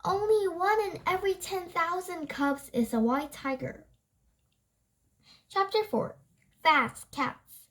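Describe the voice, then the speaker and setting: A young-sounding reader reads a nonfiction book aloud haltingly, stumbling over words and correcting themselves. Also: very high-pitched, a bit bright, low-quality recording.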